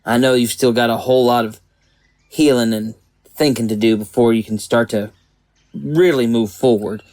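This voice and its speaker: A gentle small-town man played by an actor speaks in a medium-pitched voice. He is steadfast and earnest, with tender, hesitant phrasing. The sound is intimate and dry, in audio drama style. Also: steady tone, moderate pace, no echo, natural voice.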